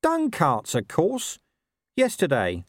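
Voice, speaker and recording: A male narrator acts out a small talking dog from a storybook, pitched well up high. He is cynical and streetwise, talking in a sardonic, colloquial patter with very lively swoops, recorded close and dry. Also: slow pace, no echo, heavily acted. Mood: sardonic, nosy.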